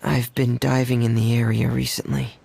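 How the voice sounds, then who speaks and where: Low, creaky, reserved boy. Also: flat delivery, normal speed, mellow, a little hiss or hum, exaggerated voice. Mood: apologetic, subdued. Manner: subdued, reserved, apologetic. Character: shy, soft-spoken, gloomy boy diver. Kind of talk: video game voice acting.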